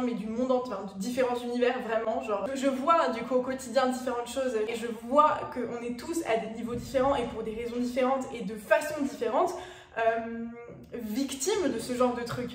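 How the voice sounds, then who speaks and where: A woman's voice: fairly high pitch, roomy sound, distant mic. A woman in her twenties with a Parisian French accent, talking to viewers in a chatty personal vlog. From France. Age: 20s. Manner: chatty, direct address to viewers, frequent fillers. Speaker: YouTuber. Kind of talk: casual personal vlog talk.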